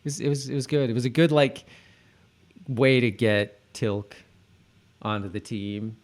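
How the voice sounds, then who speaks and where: A man co-hosting a podcast, chatting casually in a halting, repetitive way as if thinking aloud. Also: medium pitch, steady tone. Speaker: podcast co-host. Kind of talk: casual chat about a TV episode.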